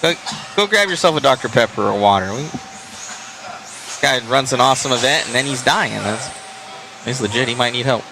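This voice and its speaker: A man's voice: mid-range voice, lively intonation, normal speed, vocal fry, long pauses, noisy background. A tired man hosting a livestream, chatting loosely and in a friendly way to wrap up an event at an indoor race track. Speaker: livestream host. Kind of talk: casual end-of-event chat.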